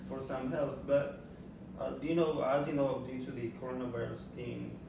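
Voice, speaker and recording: Man from Ethiopia, gravelly, lots of fillers, far from mic, noisy echo. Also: mid-range voice, level tone, normal speed. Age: young adult. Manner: asks questions with many fillers. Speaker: freshman student. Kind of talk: casual interview.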